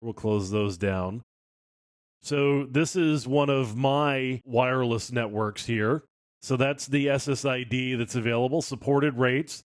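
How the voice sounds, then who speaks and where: Male tutorial instructor, middle-pitched voice, informal walk-through delivery, very dry sound. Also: takes it slowly, dry recording. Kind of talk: screencast tutorial, explaining as he demonstrates.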